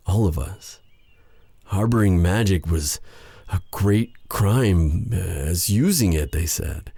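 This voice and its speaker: A man playing a brooding, bitter exiled sorcerer with a tender side in an intimate ASMR roleplay drama, with a soft, halting delivery. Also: deep voice, slightly rough, no echo, full character voice. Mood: somber, reminiscing. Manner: halting, hesitant, sighing delivery.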